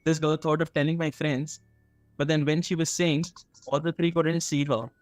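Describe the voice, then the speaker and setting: A man's voice: a little high, flat delivery, normal speed, no room sound. Indian man telling stories, dry close sound. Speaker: storyteller on a radio horror show. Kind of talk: casual conversational storytelling.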